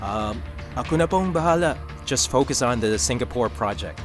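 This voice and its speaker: An actor playing a young male CEO disguised as a janitor, who talks in a joking, lively way, in a radio drama. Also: mid-range voice, normal speed, music in the background, lightly acted.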